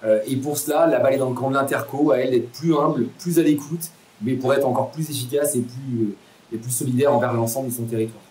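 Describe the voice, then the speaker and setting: A man's voice: mid-range voice, fairly even intonation, quick pace, a little mellow. A roomy, echoing recording with slight background noise. A man with a mid-range voice answers as a formal expert interviewee. He explains emphatically, often rephrasing his points. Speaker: interviewed association president.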